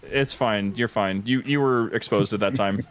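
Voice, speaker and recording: A man's voice: lowish voice, moderate pace. A man chatting casually and giving his opinions on a gaming stream. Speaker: stream host.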